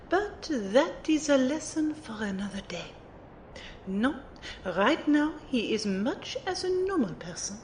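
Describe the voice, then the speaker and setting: A woman playing a refined, playful magic mentor in an audio drama, formal and precise as she teases and lectures. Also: a little mellow. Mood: playful, teasing. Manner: formal, precise, teacherly phrasing. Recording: roomy amateur recording, faint rumbling noise.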